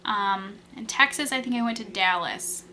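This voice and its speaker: A woman's voice: mid-range voice, moderate pace, sharp tone, a little hiss or hum. A young woman from Wisconsin, chatting casually through tag questions, rambling with lots of fillers and restarts. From Wisconsin, USA. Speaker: beauty vlogger.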